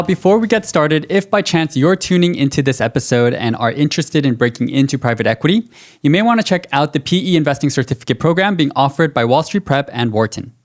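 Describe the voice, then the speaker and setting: A man hosting a podcast, reading an upbeat intro and asking interview questions. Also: a little high. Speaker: podcast host.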